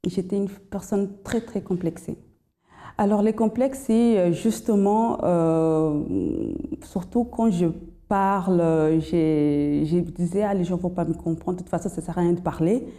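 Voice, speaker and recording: A young woman with a slight foreign accent and another first language, giving a hesitant personal testimony full of pauses and restarts. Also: fairly low pitch, dark tone. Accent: slight foreign accent in French. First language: not French. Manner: hesitant, many fillers and restarts. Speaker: testimony guest.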